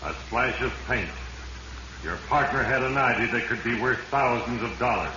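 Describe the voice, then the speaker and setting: A man's voice: medium pitch, normal speed, lightly acted. A male actor playing an ominous voice of fate, narrating a 1940s radio drama solemnly in an American accent. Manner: solemn, ominous second-person storytelling. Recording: muffled, noisy 1940s radio recording, echoey.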